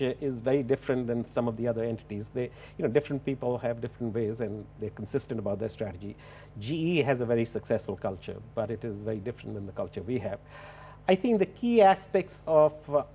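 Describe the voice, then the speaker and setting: Husky man, halting and measured. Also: medium pitch, darkish tone. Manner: halting, measured, with repeated starts. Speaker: business executive being interviewed. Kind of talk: television interview answers. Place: television studio. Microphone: lapel mic.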